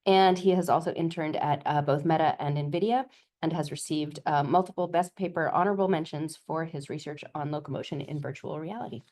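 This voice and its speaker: A woman hosting a seminar, giving a measured, formal introduction of the guest speaker. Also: sits low, darkish tone.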